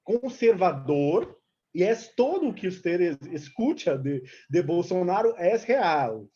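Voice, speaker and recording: A woman's voice: fairly low pitch, sing-song, takes it slowly, warm tone. A Brazilian woman, a native Portuguese speaker, giving an informal seminar talk as an activist, mixing Portuguese and Spanish and searching for words, over a lo-fi online call. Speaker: activist speaker, movement representative. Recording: dull lo-fi online call audio.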